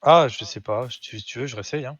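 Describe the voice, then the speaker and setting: A young man with a slightly husky voice, bantering in slang and teasing his friends during a game. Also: medium pitch, fast talker, fairly bright tone, no echo, dull audio. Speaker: gamer playing with friends. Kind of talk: casual banter during gameplay.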